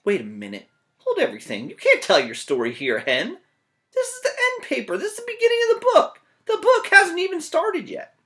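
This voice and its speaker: A man's voice: extremely high pitch, wildly swooping pitch, fairly quick, a bit bright, voice pushed up, full character voice. Man playing a bossy, frazzled storybook narrator guy. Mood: bossy, exasperated. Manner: brash, interrupting, exasperated.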